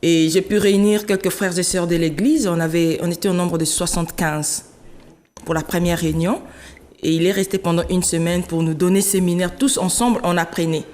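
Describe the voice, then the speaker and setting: A woman in her late thirties from the Democratic Republic of Congo, telling her story as an interview guest, animated and laughing often. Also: fairly low pitch, a little fast. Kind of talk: conversational interview. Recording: long hall reverb.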